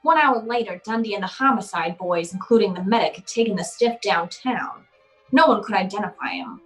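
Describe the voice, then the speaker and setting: A woman's voice: mid-range voice, expressive, brisk, lightly acted, rough recording. Woman as wry hardboiled female private eye, music underneath. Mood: dry, wry. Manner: dry, wry, tough storytelling. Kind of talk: noir radio play narration.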